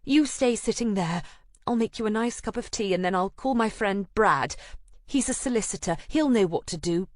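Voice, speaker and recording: A woman reading an audiobook, voicing a brash, fussy young female friend in high, excitable exclamations. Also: normal speed, dry recording, lightly acted. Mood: bossy. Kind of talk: audiobook character voice. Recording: dry close studio recording.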